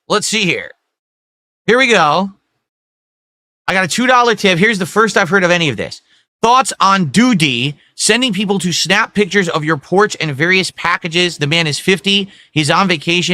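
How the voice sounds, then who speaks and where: Sharp-toned defiant male streamer. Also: fairly high pitch, no echo. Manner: defiant, rambling, sweary. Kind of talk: livestream talk to chat.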